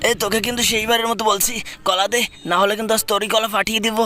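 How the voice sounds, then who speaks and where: A man's voice: sits high, flat delivery, rapid-fire, lightly acted. A man voicing a brash, foul-mouthed comic troublemaker in a cartoon, with crude mock-vlogger bravado. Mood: annoyed. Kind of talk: comedy cartoon dubbing. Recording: loud music over the voice.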